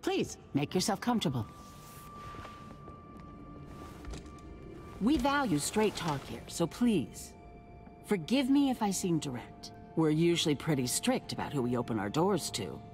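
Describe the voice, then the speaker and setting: An actress playing a courteous, persuasive female settlement leader in a video game, speaking with genteel, measured politeness. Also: low voice, very animated, a little mellow. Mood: courteous, direct. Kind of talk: naturalistic dramatic game acting. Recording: music under the voice.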